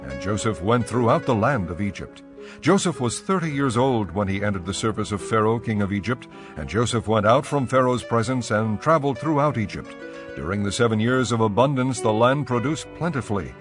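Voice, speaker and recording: A man narrating scripture from a script in a measured, formal way. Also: lowish voice, lively intonation, over music.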